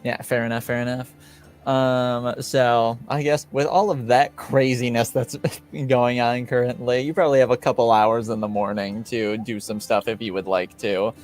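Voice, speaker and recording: A man running a tabletop role-playing game as game master, describing scenes in a relaxed, easygoing way. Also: medium pitch, normal speed, faint music behind. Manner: relaxed, easygoing, many fillers.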